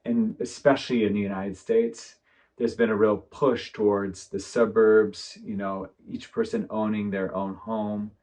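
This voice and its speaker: A man's voice: lowish voice, flat delivery, measured pace, a little mellow. A man, an architect guest, talking thoughtfully in a rambling way with many fillers in a casual conversation. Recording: distant from the mic.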